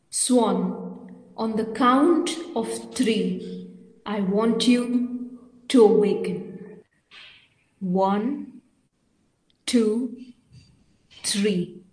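A woman acts a commanding mind prober in an audio play. Her voice is fairly high and very measured, and she gives instructions haltingly and deliberately. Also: poor audio. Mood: soothing, instructing. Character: Callista, probing, commanding female mind prober. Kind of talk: audio drama acting.

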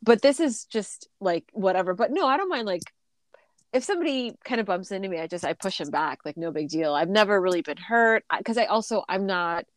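A woman's voice: mid-range voice, expressive, fairly quick, dry recording. Chatty, stuffy-sounding woman podcast host. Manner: chatty, with frequent fillers and laughter. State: stuffy from a recent illness. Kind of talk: casual chat.

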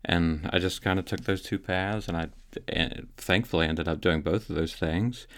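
Deep-voiced male author guest; relaxed, thoughtful, restarts often. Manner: thoughtful, halting, many restarts. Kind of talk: casual podcast conversation.

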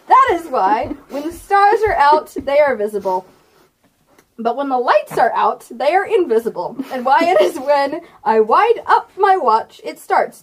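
A woman's voice: high voice, a little hiss or hum, poor audio. A giggly, playful young woman talking casually as game master on a tabletop game podcast among friends.

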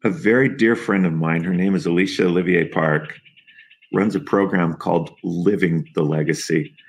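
A man's voice: sits low, steady tone, normal speed, slightly rough. A man in his fifties, a nutrition coach talking as a guest on a podcast interview, emphatic and motivational. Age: 50s.

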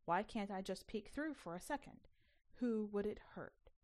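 A woman voicing an anxious mother who coaxes her child with expressive exasperation, in a storybook reading. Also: mid-range voice, normal speed, close to an everyday voice. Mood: anxious coaxing.

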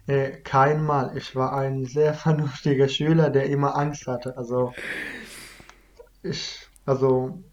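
A man's voice: mid-range voice, flat delivery, slow pace, a little mellow, dull audio. Young man. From Iraq. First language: Kurdish. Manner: hesitant, many restarts and fillers. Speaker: interviewee. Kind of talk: casual conversation.

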